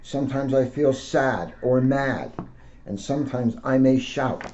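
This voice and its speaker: Man, mellow relaxed voice, police officer reading to kids, deliberate phrasing, muffled lo-fi sound. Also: mid-range voice, slight background noise. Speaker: police officer reading a picture book to children. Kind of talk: read-aloud storytelling with casual asides. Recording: dull lo-fi sound.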